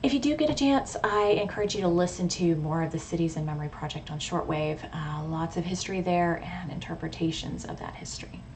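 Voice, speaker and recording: A thoughtful, measured woman speaking as a hobbyist vlogger. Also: lowish voice, darkish tone. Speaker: radio hobbyist vlogger and poet. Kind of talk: casual informative vlog talk with poetry reading. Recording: clearly audible background noise.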